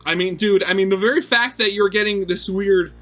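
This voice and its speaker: Male game narrator, bright forceful tone, expressive storytelling with informal asides, faint music bed. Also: high voice, a little fast. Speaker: gaming video host reading a visual novel aloud. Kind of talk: dramatic story narration with casual commentary.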